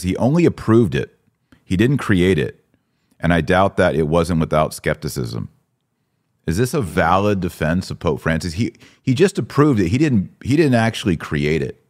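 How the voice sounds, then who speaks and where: A man hosting a podcast, giving opinionated religious commentary in an emphatic, exhorting manner with rhetorical questions. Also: low-pitched. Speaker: podcast host.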